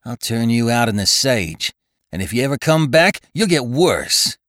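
A man voicing a stern, fanatical male church elder who gives orders in a raised, forceful voice. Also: medium pitch, big pitch swings, normal speed, no echo, studio quality, pitched above the performer's own voice. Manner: raised, forceful, commanding delivery.

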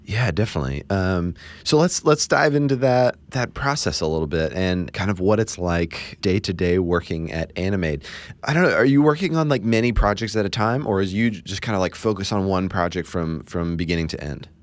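A man's voice: low voice, animated, brisk, dry recording. A man hosting a casual interview, asking long rambling questions full of "like" and "you know". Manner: rambling, chatty questions with many "like"s.

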